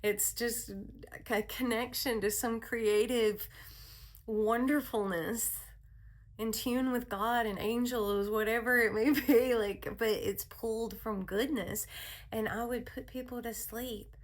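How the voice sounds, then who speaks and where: A woman hosting a spiritual wellness talk, speaking in a calm, soothing voice with a rambling manner and frequent laughs. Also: fairly high pitch, takes it slowly. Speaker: wellness channel host. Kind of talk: casual spiritual talk to viewers.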